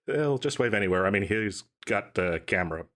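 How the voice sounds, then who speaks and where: A man's voice: on the low side, lively intonation, a little fast, a bit bright. A man playing an overeager, chatty and cheerfully shady salesman in a comedic audio roleplay, rambling and stammering coyly through his patter. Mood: cheerfully sheepish. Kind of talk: comedic audio roleplay, one side of a conversation.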